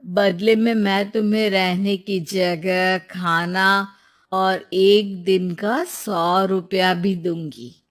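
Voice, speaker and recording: A woman's voice: mid-range voice, fairly even intonation, drawn-out words. A woman voicing a helpful, practical seamstress with a reassuring manner in a moral story.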